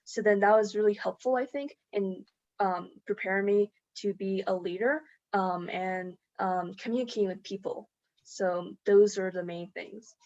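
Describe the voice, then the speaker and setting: A young woman, a student, earnestly explaining school and college advice with frequent "um" pauses. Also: mid-range voice, fairly even intonation, darkish tone. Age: young adult. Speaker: student panelist. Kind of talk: casual Q&A chat. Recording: muffled lo-fi recording.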